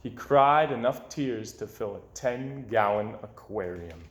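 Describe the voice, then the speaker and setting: A man reading a children's storybook aloud in an expressive style, with dramatic pauses and drawn-out words. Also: lowish voice. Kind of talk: expressive read-aloud narration. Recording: roomy, echoing indoor sound.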